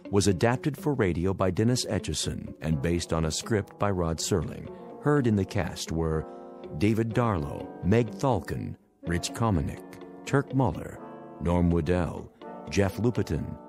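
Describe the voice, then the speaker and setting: A man reading the closing credits of a radio drama in a formal, steady way. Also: deep voice. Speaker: announcer. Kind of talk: end credits announcement.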